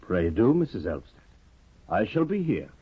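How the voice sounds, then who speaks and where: An actor playing a brooding, reformed young scholar in a 1950s radio drama, with a husky, earnest, intense delivery. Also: male voice, on the low side, sing-song, normal speed, exaggerated voice. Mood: courteous. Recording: dull lo-fi 1950 radio recording.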